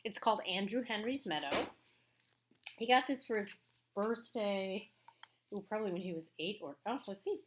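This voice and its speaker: A woman with a medium-pitched voice speaks as an elementary school teacher to children. She delivers a read-aloud lesson, mixing storybook reading with chatty, explanatory asides about what is shown. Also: background noise. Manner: explanatory, pauses to comment on pictures.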